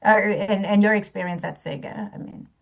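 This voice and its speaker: A woman's voice: mid-range voice, steady tone, normal speed, creaky. A woman moderating a webinar, giving brief encouraging prompts between speakers.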